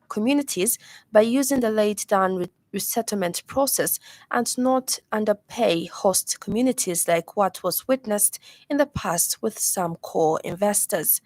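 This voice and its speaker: A woman news anchor reading a radio news bulletin in a measured, formal delivery from a studio. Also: mid-range voice, no room sound. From Zambia.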